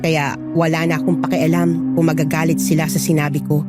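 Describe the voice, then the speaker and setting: Lowish female voice, scripted horror narration, storyteller, clear music bed. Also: little pitch movement, brisk.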